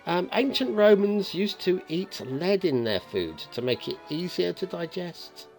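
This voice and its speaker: A man's voice: high voice, animated, a little slow, gravelly, a little mellow, faint music behind. A man hosting a game map review in a chatty, playfully teasing way, commenting casually and off the cuff.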